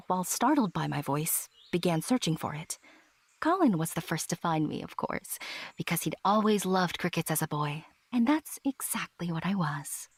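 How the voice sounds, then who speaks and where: A woman voicing a talking cricket who narrates a fantasy audio drama, theatrically addressing the listener in a breathy voice. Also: on the low side, no echo. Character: talking cricket storyteller, observer turned anxious helper. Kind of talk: scripted fairy-tale audio drama narration.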